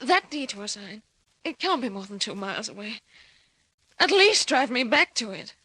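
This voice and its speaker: A woman's voice: a little high, very animated, moderate pace, pushed and bright, breathy edge, exaggerated voice, low-quality recording. A woman playing a distressed young woman in an old-time radio drama, insistent and melodramatically pleading. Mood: pleading, urgent. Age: young adult. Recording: vintage radio drama recording.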